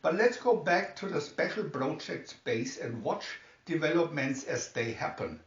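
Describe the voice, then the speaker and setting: A man's voice: a little high, animated, unhurried. A man narrating a scripted adventure story, reading it aloud as a storybook narrator. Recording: roomy, distant, slightly dull home recording.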